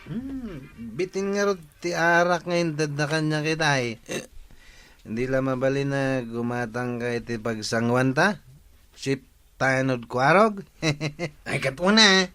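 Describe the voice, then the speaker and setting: A man's voice: medium pitch, unhurried, pushed and bright, clean tone, soft background music. A man playing a cheeky, jovial villager in an Ilocano radio comedy drama, with an Ilocano accent. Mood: teasing.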